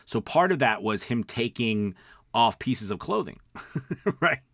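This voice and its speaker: A man's voice: medium pitch, big pitch swings, a little slow, gravelly, a bit bright, dry recording, studio quality. A middle-aged man giving casual, conversational coaching to viewers, emphatic and often checking in with his listeners. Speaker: voice-over coach and video host. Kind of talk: casual instructional talk to viewers.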